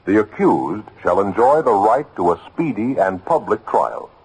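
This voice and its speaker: A man's voice: lowish voice, sing-song, a little slow, a little mellow, poor audio. An American man delivering an earnest, emphatic scripted radio announcement in 1950s style, addressing the listener directly with rhetorical questions. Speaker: announcer of a public service message. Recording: old radio broadcast, slightly husky sound.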